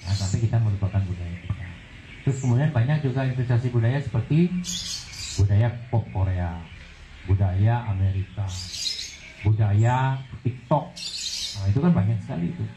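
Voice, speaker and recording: A man's voice: fairly low pitch, normal speed, long pauses, dull audio, noisy background. A man from Bali with a Balinese accent, giving an informal, encouraging talk to young people as a guest speaker. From Bali, Indonesia. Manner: encouraging, lively. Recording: echoey room.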